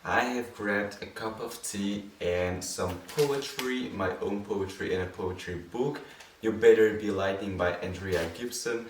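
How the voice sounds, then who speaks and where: Soft-spoken Dutch-accented man, echoey room. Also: on the low side, recorded from a distance, slight background noise. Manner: gentle, unhurried, hesitant asides with many fillers. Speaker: poetry channel host. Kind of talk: soft spoken-word poetry reading. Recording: echoey room, set back from the mic.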